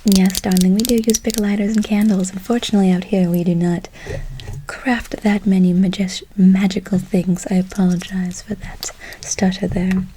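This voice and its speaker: A woman playing a gentle, doting witch in a whispered ASMR role play, slow and soothing with a breathy voice, repeating words softly. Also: mid-range voice, level tone, a little hiss or hum, natural voice. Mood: doting, earnest. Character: gentle doting forest witch casting a spell. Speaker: ASMR performer.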